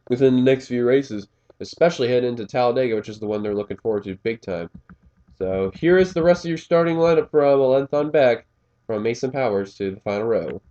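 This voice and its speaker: A man calls a race in a casual play-by-play, his voice a little scratchy and pitched in the middle range. He rambles, drops fillers and corrects himself as he goes, recorded on a muffled, lo-fi home mic. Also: dark tone. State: slightly scratchy voice. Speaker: race commentator. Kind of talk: play-by-play commentary of a simulated race.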